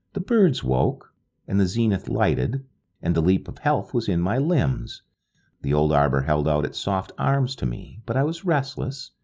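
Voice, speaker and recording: A man reading a literary ghost story aloud in a slow, solemn, wistful manner, with a gravelly, husky voice. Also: fairly low pitch, dark tone. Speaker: narrator reading a short story. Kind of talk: scripted literary narration.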